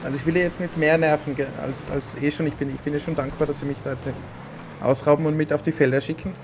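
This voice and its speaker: A man's voice: a little high, fairly even intonation, fast. A man in his thirties with an Austrian accent, talking politely and chattily while roleplaying a prosecutor at a home streaming setup. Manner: polite, chatty, talks with a put-on Viennese lilt. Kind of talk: in-character roleplay chat. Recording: game car noise behind.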